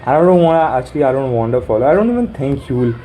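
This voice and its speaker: Young man, deep mellow voice, halting self-talk on a phone mic, faint traffic behind. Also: animated, rough recording. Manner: musing, halting, swears casually. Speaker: vlogger. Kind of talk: rambling self-talk planning. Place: sitting in a room corner.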